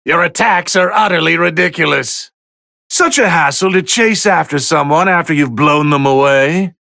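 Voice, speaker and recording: An actor playing a haughty, bored, easily irritated god of destruction who gives pompous, theatrical commentary in a fighting video game, with a gravelly voice. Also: male voice, fairly high pitch, moderate pace, pushed and bright, no room sound, clean studio sound. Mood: bored disdain. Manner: pompous, theatrical, drawling disdain.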